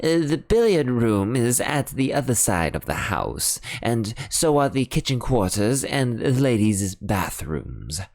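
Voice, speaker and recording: A man voicing a deferential, anxious male butler in a high, fluttery, shocked voice for an audiobook reading. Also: big pitch swings, unhurried, few pauses, darkish tone. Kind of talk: audiobook character voice.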